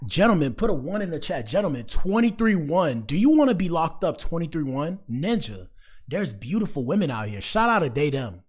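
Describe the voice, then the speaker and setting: A man's voice: fairly high pitch, sing-song, fairly quick, husky. An American man doing casual, slangy livestream commentary, speaking directly to his viewers as he reacts to clips.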